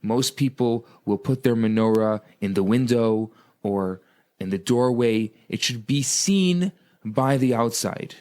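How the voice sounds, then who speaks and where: Rabbi on talk radio, male, mid-pitched, earnest explainer. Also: unhurried, darkish tone. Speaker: rabbi and co-host.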